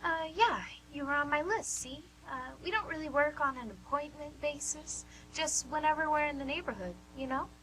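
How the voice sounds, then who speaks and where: A woman portrays a flustered, perky female caller in a radio play. She apologizes and dithers in a bright, fairly high voice, over a faint noisy hum. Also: normal speed, hardly any pauses. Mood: chirpy. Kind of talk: amateur radio play acting. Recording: hum and faint background noise.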